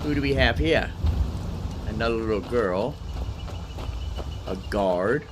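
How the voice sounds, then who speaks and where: A man's voice: mid-range voice, lively intonation, slow pace, noisy background. A man giving casual live gameplay commentary as a streamer, rambling and thinking aloud. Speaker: game streamer, host. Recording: game music behind the voice. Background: game music.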